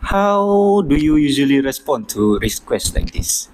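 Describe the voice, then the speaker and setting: A man's voice: medium pitch, sing-song, a little slow. A young male student leads the interview with formal, scripted questions. His delivery is polite and careful. A faint hiss sits under his voice.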